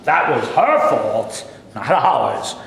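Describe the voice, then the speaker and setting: A man's voice: medium pitch, sing-song, very slow, vocal fry, fairly bright tone, a little hiss or hum, exaggerated voice. A man reading the part of a strict religious father in a staged play, stern and lecturing. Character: strict pious father, middle-aged man. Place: theater stage. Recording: echoey hall with long reverb.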